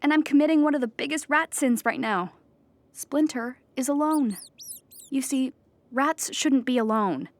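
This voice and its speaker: A woman's voice: sits high, a little slow. A woman playing an earnest, lonely, depressed clown pleading before judges in an audio drama, rambling and childlike as she justifies herself. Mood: guilty, melancholy.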